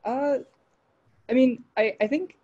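A woman's voice: high-pitched, dark tone, dull audio. A young woman with an American accent, answering interview questions on a video call, eager and full of fillers and restarts. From New Zealand. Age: young adult. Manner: fast, fillers and restarts, enthusiastic. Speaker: guest. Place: home room, indoors.

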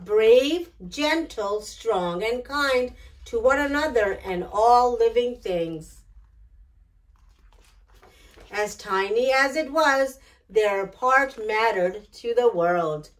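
A middle-aged woman hosting storytime, reading a picture book aloud in an expressive, deliberate way. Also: on the high side, rough recording. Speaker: children's storytime host. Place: small decorated indoor storytime set.

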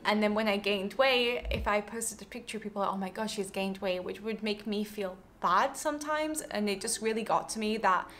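A woman in her late twenties from Yorkshire, with a hybrid Yorkshire accent, chatting candidly to camera in a relaxed Q&A vlog from her living room. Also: medium pitch, moderate pace, hardly any pauses, slight background noise. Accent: mixed Yorkshire English. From Yorkshire, England. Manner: chatty, candid, softens to a quiet tone on personal topics. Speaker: streamer and content creator. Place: living room, indoors, seated on a sofa.

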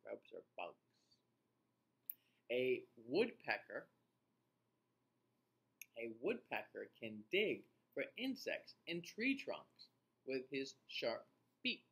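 A man in his forties reading a picture book aloud to young children in an expressive, teacher-like way, with playful asides. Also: mid-range voice, takes it slowly, pauses often. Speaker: children's read-aloud host. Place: small indoor room.

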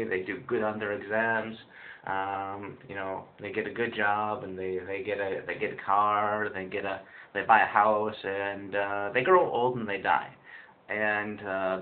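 A young man in his twenties talks casually to his webcam, wandering through his thoughts with plenty of ums and restarts. His voice sits a little low. The audio is thin and phone-like, with a faint hiss underneath. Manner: rambling, many fillers and restarts. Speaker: vlogger, English teacher in China. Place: small room indoors. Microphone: webcam built-in mic, close.

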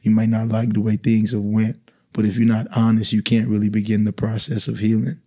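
A man in his thirties from the Bahamas, a basketball coach talking calmly as a podcast guest in a small studio. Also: on the low side, brisk. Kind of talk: podcast conversation. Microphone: studio mic on boom arm.

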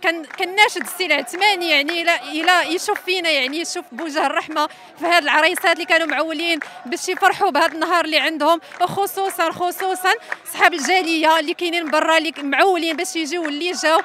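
A woman's voice: extremely high pitch, fairly even intonation, racing, bright, forceful tone. A woman with a Moroccan accent making an appeal in a street interview outdoors. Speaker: interviewee, bride at a protest. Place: open square outdoors, crowd behind. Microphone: handheld reporter microphone. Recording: noisy outdoor crowd, clicks.

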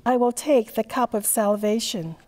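A woman in her sixties leading a church service as priest, reciting psalms and prayers in a measured, formal way. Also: fairly high pitch, a crowd in the background. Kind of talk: liturgical reading. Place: large church sanctuary with stained glass. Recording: long church reverb.

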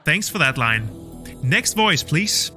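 A man's voice: on the high side, big pitch swings, slow, bright, forceful tone, faint music behind. A man hosting a mock talent show in an upbeat, showman-like way. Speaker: host.

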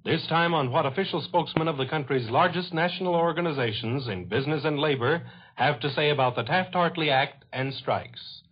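An American man makes scripted network announcements in 1940s radio style. His voice sits fairly high and has a rough, husky edge. The old broadcast sounds muffled. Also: a little fast.

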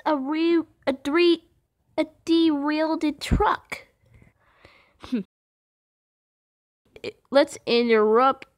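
A woman voicing a cheeky young boy penguin in a cartoon fan dub, who gets excited, turns bratty and stumbles over words. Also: sits high, exaggerated voice. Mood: playful.

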